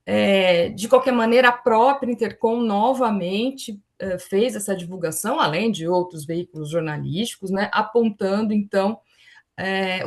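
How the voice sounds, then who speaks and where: A Brazilian woman speaking as a forum panelist in an online academic presentation, explaining things and often adding small tags that seek agreement. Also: fairly high pitch.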